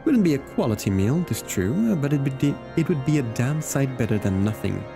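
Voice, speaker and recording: A man's voice: medium pitch, sing-song, a little fast, gravelly, over music. A man reading game dialogue aloud as a plain-spoken, hearty garrison cook in a fantasy game, with folksy old-fashioned phrasing. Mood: hearty, persuasive.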